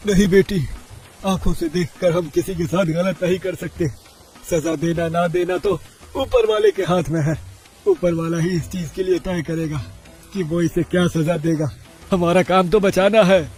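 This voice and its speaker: A man voicing a gentle, forgiving old father bull in an earnest, kindly manner for a cartoon story. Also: sits high, steady tone, moderate pace, husky. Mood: gentle, firm. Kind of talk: Hindi cartoon story voice acting.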